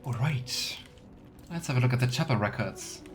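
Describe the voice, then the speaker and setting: A man's voice: mid-range voice, lively intonation, normal speed. Chatty man streaming over game music. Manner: chatty, reads game text aloud. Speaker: let's play streamer. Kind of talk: casual game commentary.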